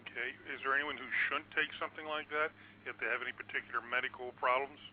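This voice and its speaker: A man's voice: medium pitch, fairly even intonation. A man calling in to a radio show, talking hesitantly and stumbling with "uh" as he asks his question. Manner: hesitant, halting with uh. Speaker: radio caller. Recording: dull lo-fi phone audio.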